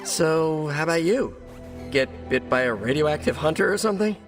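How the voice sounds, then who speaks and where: A man's voice: on the high side, animated, normal speed, music in the background, natural voice. An actor voicing a young, wisecracking, awkward American male superhero in a video game, joking in a hesitant, rambling way. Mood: sheepish, joking.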